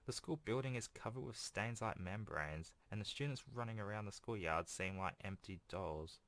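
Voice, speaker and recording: A man's voice: lowish voice, lively intonation, moderate pace, fairly bright tone. A man reading a visual novel aloud with casual, rambling, dry asides as a gaming host. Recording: music behind the voice. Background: game music.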